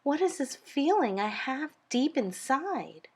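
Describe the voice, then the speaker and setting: Woman playing a scared little storybook seed boy, raised pitch, pleading, anguished, dramatic. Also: big pitch swings, slow pace, darkish tone, heavily acted. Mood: anxious wonder.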